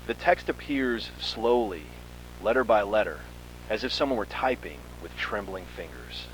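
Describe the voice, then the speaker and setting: A man's voice: on the low side, normal speed, a little hiss or hum, rough recording. A man reading a scripted second-person horror story in a dramatic, suspenseful manner.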